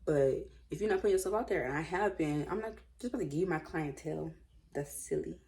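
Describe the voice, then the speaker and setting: A woman reading aloud and commenting casually, with an informal, opinionated, slangy way of musing out loud. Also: low-pitched, fast talker, creaky, very noisy. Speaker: host reading and commenting on online stories.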